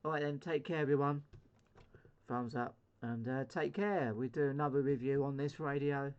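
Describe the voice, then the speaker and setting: A man's voice: mid-range voice, fairly even intonation, normal speed, smooth, dull audio. A British man chatting casually as a CB radio hobbyist on his video channel, rambling hesitantly in a self-deprecating way. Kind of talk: casual hobby vlog chat.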